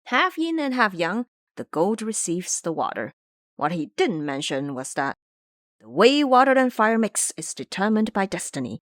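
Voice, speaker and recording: A woman voicing a calm, good-humored male disciple monk in an audio drama, explaining in a measured manner. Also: medium pitch, no room sound, clean studio sound, natural voice. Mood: amused explaining.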